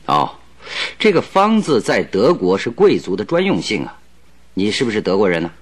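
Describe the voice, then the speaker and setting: Shrewd radio-drama detective, low husky voice, calm, polite, self-assured. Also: male voice, normal speed, exaggerated voice. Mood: curious, probing. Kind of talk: Mandarin radio drama acting.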